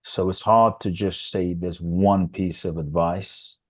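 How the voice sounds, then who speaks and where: Deep-voiced man, earnest teacher. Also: steady tone, slow, darkish tone, husky. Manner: earnest, preacher-like build-ups with repetition. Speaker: podcast guest, transformational teacher. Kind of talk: reflective interview answers.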